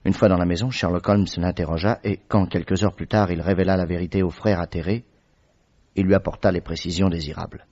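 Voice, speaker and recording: A man playing Watson, a deep, husky narrator. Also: steady tone, a little mellow, lo-fi sound. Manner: measured storytelling narration. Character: Dr Watson, loyal male companion and narrator. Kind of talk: radio drama narration.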